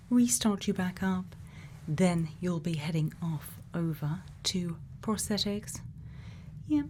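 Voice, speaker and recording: Female ASMR performer as a caring scientist: soft-spoken to whispered, unhurried and deliberate, close-miked with some background noise. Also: fairly low pitch. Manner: slow, hushed, deliberate, with thoughtful pauses. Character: gentle, caring female scientist tending a robot. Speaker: ASMR creator.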